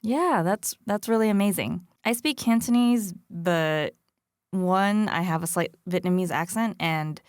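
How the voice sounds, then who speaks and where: A woman hosting a podcast, narrating in a reflective, measured way and interviewing a guest. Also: on the low side, no room sound, studio quality. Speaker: podcast host.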